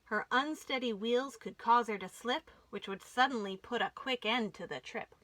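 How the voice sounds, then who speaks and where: A woman reading a rhyming picture book aloud for young children in an expressive storytime style. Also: fairly high pitch, normal speed. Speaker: children's storytime reader at a library.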